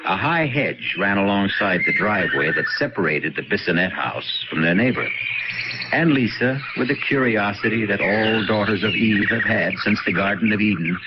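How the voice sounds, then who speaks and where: A man hosting and narrating a radio drama in a wry, measured storytelling manner. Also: fairly low pitch, animated, heavy background noise. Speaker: host and narrator. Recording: dull lo-fi old radio broadcast.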